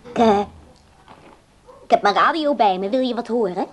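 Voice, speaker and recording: Troubled, blunt woman, fairly high played by an actress. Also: normal speed, natural voice. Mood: agitated, remorseful. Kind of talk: Dutch radio play acting. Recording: noisy old radio drama recording.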